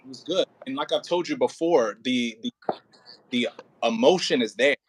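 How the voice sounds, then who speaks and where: A man working as an audio play director and acting coach, talking casually and informally, with halting pauses and emphatic repetition. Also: mid-range voice, slightly rough, poor audio.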